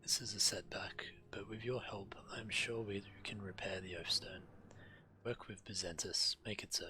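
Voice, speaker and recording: Man, game streamer, very low pitch, reading quest text, game music behind. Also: steady tone, moderate pace, bright, forceful tone.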